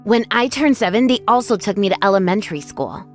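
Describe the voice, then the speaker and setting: A woman's voice: medium pitch, lively intonation, fairly quick, natural voice. A woman voicing a frightened, lonely orphaned five-year-old boy, narrating his own story earnestly and emotively in an anime-style dub. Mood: wistful, lonely. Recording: voice over background music.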